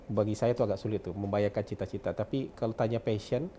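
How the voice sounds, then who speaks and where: A man's voice: on the low side, fast, dark tone, a little hiss or hum. A man in his forties from West Sumatra with a Minangkabau-tinged accent, a political consultant talking reflectively and chuckling often in an interview. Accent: Minangkabau-tinged Indonesian. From West Sumatra, Indonesia. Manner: reflective, chuckles often, mixes in English words. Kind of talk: relaxed interview chat.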